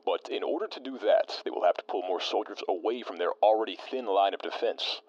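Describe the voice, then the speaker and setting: A man's voice: fairly low pitch, fairly quick, darkish tone, no echo, lo-fi sound, lightly acted. A man playing a stiff, formal tactician. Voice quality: formal, stilted diction. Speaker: radio co-host.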